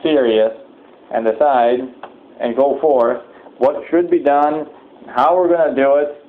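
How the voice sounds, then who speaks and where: Male preacher, medium pitch, solemn and exhorting but halting; narrow telephone-grade audio. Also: fairly even intonation. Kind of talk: sermon-like address with announcements. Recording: thin phone-like sound, clear background noise.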